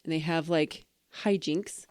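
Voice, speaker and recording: A woman's voice: deep voice, steady tone, measured pace, a little mellow, no room sound, clean studio sound. A woman co-hosting a podcast, chatting casually and playfully in a rambling, trailing-off way. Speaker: podcast co-host. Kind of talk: casual banter retelling fairy tales.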